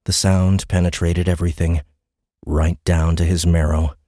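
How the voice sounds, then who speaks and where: Deep-voiced male audiobook narrator. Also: level tone, moderate pace, dark tone, no room sound, studio quality. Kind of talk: scripted sci-fi story narration. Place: dry studio.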